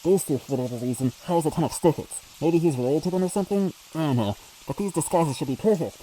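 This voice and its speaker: A man's voice: a little high, fast talker, dark tone, voice pushed up, lightly acted, low-quality recording. A man putting on a cheerful brainy Toad girl. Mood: chatty. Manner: chatty, eager. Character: brainy cheerful young female Toad adventurer. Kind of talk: storybook voice acting.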